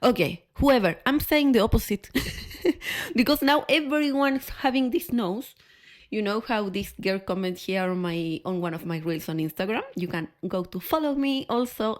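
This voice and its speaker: A woman from Chile with a Chilean Spanish accent tells personal stories to camera in an expressive, candid way, often repeating words for emphasis. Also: mid-range voice. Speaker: podcast host.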